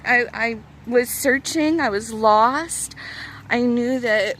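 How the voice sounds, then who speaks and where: A woman's voice: fairly high pitch, slow pace, fairly bright tone, slight background noise. A woman in her thirties with a young-sounding voice, chatting casually to camera in a rambling, hesitant way with many fillers. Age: 30s. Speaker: vlogger.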